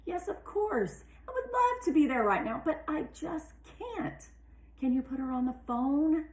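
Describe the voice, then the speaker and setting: A woman's voice: sits high, big pitch swings, measured pace, darkish tone, voice pushed up. A woman reading as a busy, devoted engineer pleading with her mother in a storybook, earnest and strained, in a roomy recording. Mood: apologetic, pleading. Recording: roomy, echoey sound.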